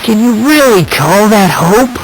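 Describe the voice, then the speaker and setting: An unsettling, hope-obsessed teenage boy from a video game. His speech is courteous and needling, sliding up and down in pitch with theatrical flair. The clip has clear background noise. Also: animated, measured pace, rough recording. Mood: wistful, disappointed. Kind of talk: English video game voice acting.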